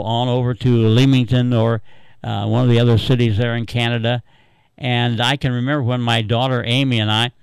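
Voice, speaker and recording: A man hosts a radio call-in show, chatting and reminiscing in a halting, rambling, easygoing way. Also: medium pitch, clear, resonant tone, dry recording, poor audio. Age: 80s. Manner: rambling, halting, chuckling storyteller. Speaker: radio talk host taking callers. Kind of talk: casual, unscripted reminiscing and chat.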